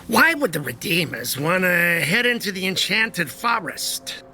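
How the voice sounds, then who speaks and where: An actor playing a sneering, scheming villain in an audio drama, with a sarcastic drawl. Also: male voice, high-pitched, very animated, unhurried, bright, forceful tone, faint music behind, full character voice. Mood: puzzled scorn. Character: sneering, scheming villain boss spying on heroes. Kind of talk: audio drama table read acting.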